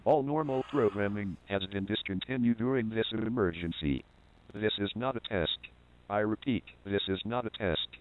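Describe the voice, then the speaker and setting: A man's voice: lowish voice, moderate pace, narrow, tinny audio. A man reading an official emergency alert announcement in a stern, formal tone.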